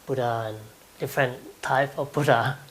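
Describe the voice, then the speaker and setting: A man's voice: medium pitch, steady tone, moderate pace, fairly bright tone, slight background noise, poor audio. A man in his twenties from Thailand, speaking with a Thai accent and answering interview questions hesitantly, with frequent ums and light laughs.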